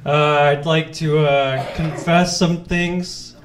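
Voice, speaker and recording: A young man doing halting, deadpan stand-up on a handheld mic in a small comedy club. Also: on the high side, background noise. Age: young adult. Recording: audience laughing in the room.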